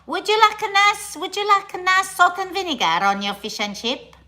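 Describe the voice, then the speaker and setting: A woman's voice: extremely high pitch, normal speed, bright, forceful tone, voice pushed up. A woman imitating a doting Greek Cypriot woman who ran a fish and chip shop, with a Greek Cypriot accent.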